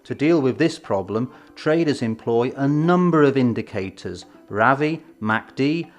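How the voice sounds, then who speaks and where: A man presenting an educational film in a scripted, measured, explanatory way with emphatic phrasing. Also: medium pitch, animated. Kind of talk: scripted instructional narration. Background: background music.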